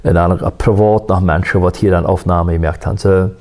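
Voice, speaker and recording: A man, a musician, telling his life story in a soft-spoken, reflective and faith-centered way. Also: low-pitched, steady tone, normal speed. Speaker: musician guest.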